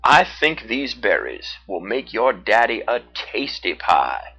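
A storyteller voicing a calm, kindly brown bear who speaks slowly and steadily. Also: medium pitch, slightly rough, heavily acted. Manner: slow and steady. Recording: dull lo-fi.

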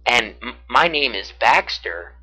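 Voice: mid-range voice, sing-song, slow, pushed and bright, full character voice. A narrator voicing a kindly, solemn storybook beaver with an earnest, sympathetic manner.

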